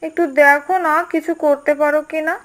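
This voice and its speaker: Woman as a high-voiced, soothing cartoon mother. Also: little pitch movement, a little fast, fairly bright tone. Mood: concerned. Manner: reassuring, coaxing. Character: patient, soothing mother of a young boy. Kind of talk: cartoon voice acting. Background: background music.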